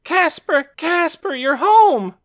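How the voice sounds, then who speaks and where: A man voicing an excitable young boy, an adoring little brother in a storybook, shrill and eager. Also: unhurried, heavily acted. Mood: overjoyed.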